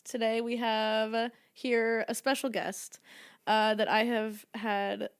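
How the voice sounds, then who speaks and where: A woman's voice: fairly high pitch, little pitch movement, takes it slowly, loud music behind the voice. A woman in her twenties hosting a casual podcast chat, rambling and laughing often. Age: 20s. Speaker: podcast host.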